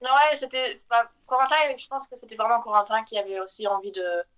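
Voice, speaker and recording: A woman's voice: on the high side, fairly quick, a bit bright, muffled recording. A woman guest telling her story in a casual interview, hesitant with many fillers. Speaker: guest, chocolatier.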